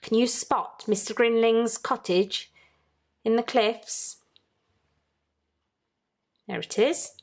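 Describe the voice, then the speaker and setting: Female storybook reader, higher-pitched voice, very unhurried and teacherly, light music in the mix. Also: steady tone. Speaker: children's story-time host and reader. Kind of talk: read-aloud storybook narration with questions to young listeners.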